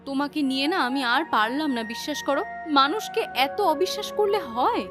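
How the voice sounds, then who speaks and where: High-pitched, fretful village wife. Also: female voice, expressive, brisk, music in the background. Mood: chiding. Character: worried, protective village wife and mother. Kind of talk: animated moral-story voice acting.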